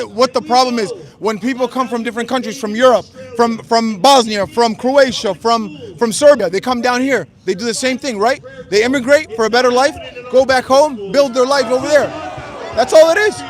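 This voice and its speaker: A man's voice: very high voice, brisk. A man at an outdoor street protest, arguing emphatically and repeating his points to an interviewer. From United States. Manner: emphatic, argumentative, repeats himself. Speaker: counter-protester being interviewed. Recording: loud crowd noise behind.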